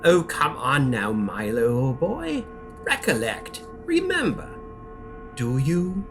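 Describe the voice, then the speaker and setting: Evil knight from a nightmare, audio drama, slow n theatrical, mock-friendly taunting, music under it. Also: male voice, medium pitch, big pitch swings. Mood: mocking glee. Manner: theatrical, drawn-out, mockingly friendly. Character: malevolent male dream knight, calm, taunting, hungry for vice. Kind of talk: audio drama villain monologue.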